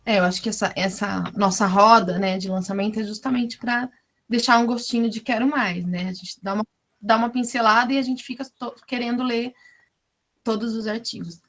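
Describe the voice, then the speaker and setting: A woman moderating an academic book launch, introducing a guest speaker in a measured way with frequent tag questions. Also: fairly high pitch, steady tone, fairly bright tone.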